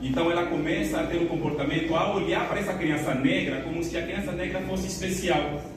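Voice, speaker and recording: A man from Angola with an Angolan Portuguese accent, speaking at a church community event in a measured way, with many pauses and filler words. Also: a little high. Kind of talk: reflective talk to an audience. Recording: echoey hall, distant mic, noisy.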